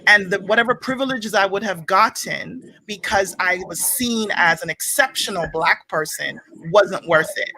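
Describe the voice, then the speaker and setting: A middle-aged woman from Jamaica, speaking as a panelist on a video call with quick, animated storytelling. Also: medium pitch, slight background noise, low-quality recording.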